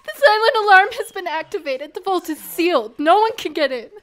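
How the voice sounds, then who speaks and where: A woman's voice: very high-pitched, animated, brisk, very noisy. A woman playing a terrified bank teller, pleading in panic in an audio drama.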